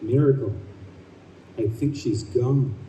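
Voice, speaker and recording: A man playing a gentle, consoling, wonderstruck young fiancé in an old-time radio play. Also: medium pitch, takes it slowly, a little hiss or hum, natural voice. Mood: gentle sorrow. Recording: echoey hall, distant microphone.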